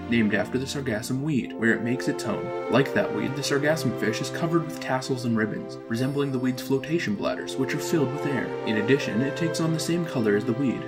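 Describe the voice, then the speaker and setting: A man's voice: medium pitch, brisk, hardly any pauses. A man reading scripted narration as a narrator, with music behind his voice.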